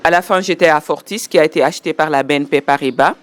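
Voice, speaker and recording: A woman, giving a measured personal testimony as an interviewee and listing details step by step. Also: sits low, no echo. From Senegal. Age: 40s.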